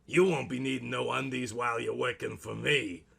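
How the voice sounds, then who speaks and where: A man's voice: mid-range voice, steady tone, moderate pace, vocal fry, sharp tone, husky, exaggerated voice. A man voicing a crude, bossy, sleazy goblin-like boss in a comic audio story, with folksy, slangy, leering delivery.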